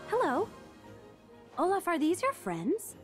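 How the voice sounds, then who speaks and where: A woman's voice: extremely high pitch, huge pitch swings, slow, faint music behind, heavily acted. A woman playing a friendly, upbeat young princess, trusting and determined, in a game cutscene. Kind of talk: video game cutscene acting.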